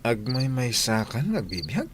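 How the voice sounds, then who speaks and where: A man's voice: mid-range voice, huge pitch swings, unhurried, background noise. A man playing a friendly, concerned household employer in an Ilocano radio drama, inquisitive and sympathetic, with an Ilocano accent. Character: friendly, concerned male employer chatting with his maids.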